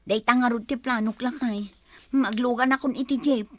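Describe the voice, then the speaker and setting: A woman's voice: fairly high pitch, steady tone, moderate pace, no room sound, natural voice. An actress with an Ilocano Filipino accent playing a dutiful wife in a comedy drama, earnest and concerned for her mother. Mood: worried.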